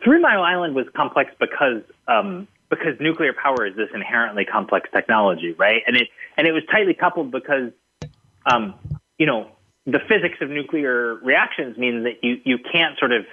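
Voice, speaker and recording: A man's voice: medium pitch, animated, moderate pace, breathy edge. Man on a tinny phone line. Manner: thoughtful, many fillers and restarts. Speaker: guest author. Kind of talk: conversational interview answers.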